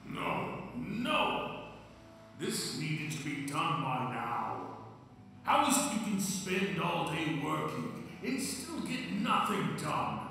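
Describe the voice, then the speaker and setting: A man's voice: high voice, sharp tone, full character voice. A man playing a cruel, contemptuous ruler who berates people with biting sarcasm in an audio roleplay. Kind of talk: ASMR roleplay acting. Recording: echoing hall, distant, faint noise.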